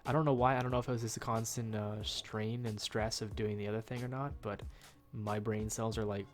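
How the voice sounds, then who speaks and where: A man's voice: lowish voice, little pitch movement, a little fast, soft background music. A young man with a nasal, stuffed-up voice giving rambling, casual commentary while he plays a game at home, full of ums and uhs. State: nasal from a cold. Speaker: gaming YouTuber.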